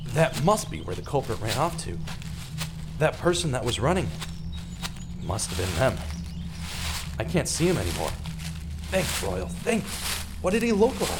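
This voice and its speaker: An actor playing a distraught, self-blaming man who narrates his own story in a halting, repetitive, dramatic way, as in an audio drama. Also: a little high, big pitch swings, noisy background. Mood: frustrated, weary. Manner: dramatic, halting, repeats himself. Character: distraught, self-blaming male radio man turned amateur pursuer. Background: music.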